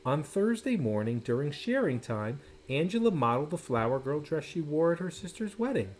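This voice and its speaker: Man reading a picture book, very animated pitch. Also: slight background noise. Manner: animated, dramatic pauses and drawn-out words. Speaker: storybook reader. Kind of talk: expressive children's picture book read-aloud.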